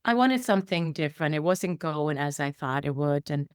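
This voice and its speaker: A woman's voice: deep voice, expressive, moderate pace, clear, resonant tone, dry recording, clean studio sound. A middle-aged woman from Iceland, a guest telling her life story in a rambling way with many fillers and restarts. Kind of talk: conversational interview storytelling.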